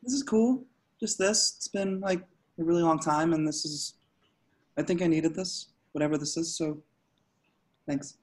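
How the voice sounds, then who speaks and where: A man playing a halting, awkward young slacker. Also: a little high, lightly acted. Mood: shy, earnest. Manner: halting, stammering, hesitant. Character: awkward, smitten, dim young male slacker, nervously earnest. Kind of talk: amateur screenplay table reading. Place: online group video call.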